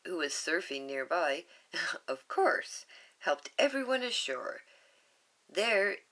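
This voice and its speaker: A woman's voice: fairly low pitch, fairly bright tone. A woman reading a children's storybook aloud, with casual asides and chuckles. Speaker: storybook reader.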